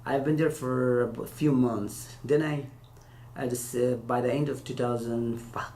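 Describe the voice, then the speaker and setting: Halting man in echoey room. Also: medium pitch, steady tone, a little mellow. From Afghanistan. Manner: hesitant, halting non-native English with many restarts. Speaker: interview guest, former interpreter. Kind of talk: casual interview answers. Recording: echoey room with faint hiss.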